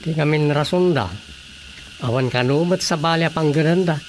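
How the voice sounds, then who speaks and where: A man acting an indignant, grumbling villager in an Ilocano-accented radio drama comedy, with a creaky voice and emphatic delivery. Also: on the high side, normal speed, slight background noise, lightly acted. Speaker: voice actor.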